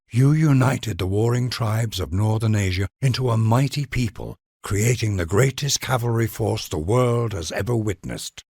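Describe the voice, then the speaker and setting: A man's voice: deep voice, gravelly, husky, dry recording, studio quality. A man delivering scripted narration for a game in a grand, solemn, declamatory manner.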